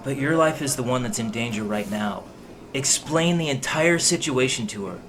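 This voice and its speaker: A man's voice: mid-range voice, lively intonation, normal speed, fairly bright tone, a little hiss or hum, lightly acted. An actor playing a protective, concerned man in an audio drama, earnest and reassuring. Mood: worried, reassuring. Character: concerned, steady male confidant, protective problem-solver.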